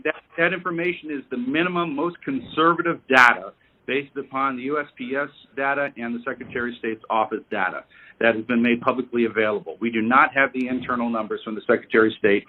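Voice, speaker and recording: A man making a formal, lawyerly argument with deliberate wording on a conference call. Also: mid-range voice, fairly even intonation. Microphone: telephone. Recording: tinny, narrow phone line.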